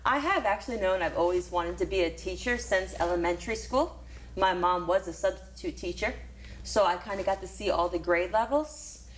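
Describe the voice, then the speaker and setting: A woman's voice: mid-range voice, a little hiss or hum, rough recording. A woman teacher from Florida, earnest and enthusiastic as she answers interview questions about her career. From Florida, USA.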